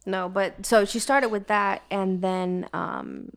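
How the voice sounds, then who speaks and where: Co-host, woman, gravelly and rambling, easy casual chat. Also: medium pitch, huge pitch swings, slow. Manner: chatty, rambling, many fillers. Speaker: podcast co-host.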